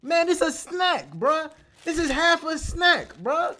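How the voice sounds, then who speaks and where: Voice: very high-pitched, very animated, slow pace, sharp tone. A person chatting casually and off the cuff, complaining in slangy, exasperated talk full of swearing. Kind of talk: casual off-the-cuff reaction chat. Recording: faint background noise.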